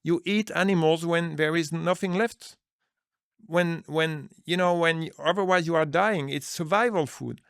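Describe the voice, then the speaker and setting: Earnest man with a gravelly voice. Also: fairly high pitch, a little slow, fairly bright tone, no room sound, clean studio sound. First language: Dutch. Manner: earnest, searching for words, many restarts. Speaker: business manager, interview guest. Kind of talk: conversational interview answers.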